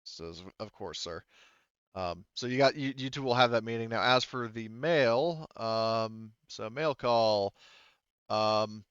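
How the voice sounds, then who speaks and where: A man talking casually as game master to his players in a halting, hedging way. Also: medium pitch, fairly bright tone, smooth, dry recording, muffled recording. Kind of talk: casual game-running talk among players. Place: online voice session.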